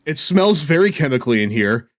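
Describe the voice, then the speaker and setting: A man's voice: a little high, very animated, brisk. A man giving casual live commentary as he streams a game, with an occasional stammer.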